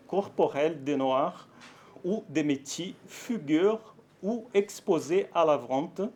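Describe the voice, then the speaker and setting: Brazilian man giving a halting talk in French. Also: a little high, slight background noise. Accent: Brazilian Portuguese. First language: Portuguese. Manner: halting, careful French with frequent fillers and self-corrections; nervous, warm, laughs lightly. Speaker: academic speaker at a conference. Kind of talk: conference talk.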